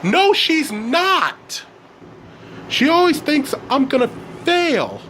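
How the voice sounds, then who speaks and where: A man's voice: a little high, flat delivery, drawn-out words, fairly bright tone, a little hiss or hum. A man voicing an angry, defiant schoolboy kickboxer in a story, indignant and insistent, repeating his phrases. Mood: aggrieved.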